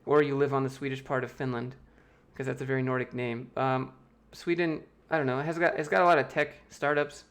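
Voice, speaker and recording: An American man chats through viewer questions on a live stream from a room at home, speaking into a desk mic. His delivery is loose and offhand, with quick jokes between answers. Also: mid-range voice, flat delivery, fairly bright tone. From United States. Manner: loose, joking, reads chat questions aloud and answers offhand. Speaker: YouTube host answering chat. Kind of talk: casual livestream Q&A.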